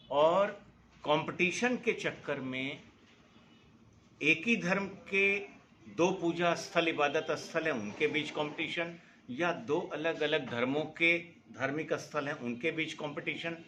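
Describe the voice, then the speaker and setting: Earnest man with a lively, emphatic delivery. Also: a little high, a little hiss or hum. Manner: earnest, emphatic, explaining rules point by point. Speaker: noise pollution campaigner, foundation secretary. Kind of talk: explanatory interview answer.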